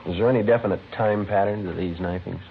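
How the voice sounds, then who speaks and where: An actor playing a terse, matter-of-fact police detective in an old radio crime drama, with a clipped, deadpan manner. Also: male voice, low voice, fast talker, creaky, phone-quality sound. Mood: businesslike. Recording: noisy old radio recording.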